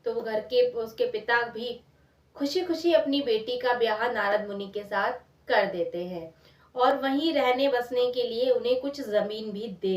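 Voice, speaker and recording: Female storyteller, high unhurried voice, informal moral-tale narration, recorded off-mic. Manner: addresses listeners with rhetorical questions. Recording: echoey room, distant microphone.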